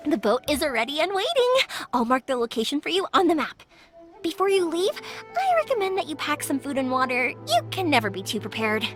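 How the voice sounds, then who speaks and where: A bubbly high-pitched fireworks girl. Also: very animated. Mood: upbeat. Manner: bubbly, quick to change topics. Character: cheerful young fireworks-maker girl, energetic. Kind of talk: video game voice acting. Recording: game soundtrack music behind.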